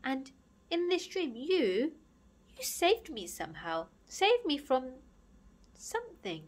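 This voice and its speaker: A woman's voice: sits high, wildly swooping pitch, slow pace, voice pushed up, exaggerated voice. A woman voicing a big, muscular, hot-tempered storybook bully boy who is wounded underneath, with emotional outbursts. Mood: shaken, quiet. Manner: loud, growling, emotional outbursts.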